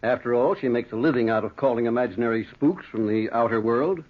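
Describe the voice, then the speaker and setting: Husky, skeptical doctor played by an actor. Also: male voice, medium pitch, natural voice. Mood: skeptical, reassuring. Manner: measured, dry, reassuring. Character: calm, skeptical doctor, reassuring and reasonable. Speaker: actor in a radio drama. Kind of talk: old-time radio drama acting. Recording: thin, narrow-band vintage radio recording.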